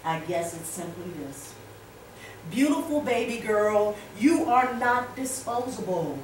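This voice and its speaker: Woman, spoken-word poetry reading, emphatic and deliberate, mid-pitched, distant mic, heavy room echo. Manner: expressive, deliberate, emphatic delivery with pauses and repetition. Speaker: poet reading to a workshop audience. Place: roomy indoor space, recorded at a distance. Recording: echoey room from far off, background noise.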